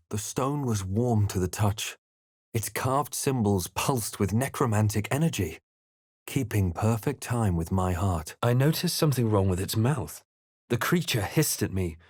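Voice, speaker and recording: Brooding male adventurer from a fantasy game, grave n measured storytelling, close dry sound. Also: on the low side, darkish tone, no room sound. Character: brooding male adventurer hero recounting his perils. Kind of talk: dramatic game narration and short character remarks.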